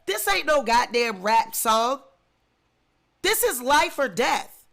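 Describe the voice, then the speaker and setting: A woman's voice: high-pitched, very animated, slow, gravelly, fairly bright tone. A congested woman with the flu giving indignant, emphatic celebrity commentary in a vlog. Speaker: commentary vlogger.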